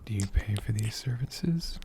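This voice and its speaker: A performer voicing a gentle, affectionate dragon healer in a soft, breathy ASMR whisper, slow and soothing with tender, lingering pauses. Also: mid-range voice, lively intonation, slight background noise. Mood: gentle, reassuring. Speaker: ASMR creator.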